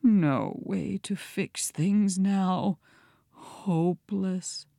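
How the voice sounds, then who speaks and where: A woman voicing a gloomy, defeated male pig in a storybook, speaking in a mournful, hushed manner. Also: deep voice, expressive, slow, no echo, studio quality. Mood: hopeless.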